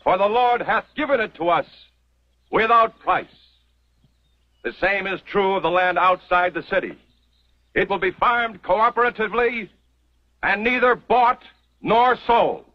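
An actor playing a stern pioneer church leader who lays out a city plan in a solemn, proclaiming way, on a dull old TV soundtrack. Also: male voice, high-pitched, a little slow, pauses often. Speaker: church leader laying out a settlement. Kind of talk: 1950s TV western acting.

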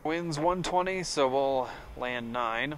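A man's voice: mid-range voice, slow pace, background noise. A man, a pilot, chatting casually over a headset in a small aircraft cockpit. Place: small turboprop cockpit in flight. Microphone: aviation headset. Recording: engine drone, cockpit headset audio.

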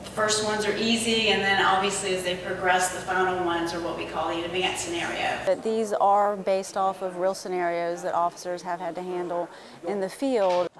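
A woman's voice: lowish voice, little pitch movement, moderate pace, a crowd in the background. A woman in her forties, a police trainer, giving an explanatory presentation from a theatre stage. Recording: echoey theatre, distant mic, noisy.

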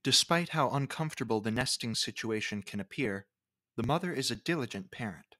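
A man reading scripted educational nature narration in a measured, formal way. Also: mid-range voice, lively intonation, pushed and bright.

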